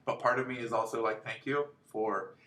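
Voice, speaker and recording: A man co-hosting a casual podcast with a gentle voice, rambling through his thoughts with lots of fillers and easy laughter. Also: medium pitch, fairly even intonation, normal speed, slight background noise. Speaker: podcast co-host. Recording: echoey room.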